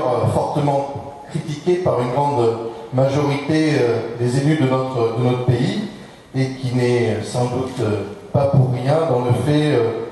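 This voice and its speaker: A man, a local elected official, delivers a formal speech at a public meeting in a measured, halting way, often hesitating and repeating words. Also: mid-range voice, mellow. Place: large public meeting hall, indoors. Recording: very echoey hall, distant microphone.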